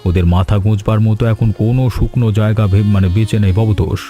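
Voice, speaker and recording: A man as a mellow, troubled village headman. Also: on the low side, steady tone, fast talker, soft background music, natural voice. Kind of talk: scripted cartoon dialogue.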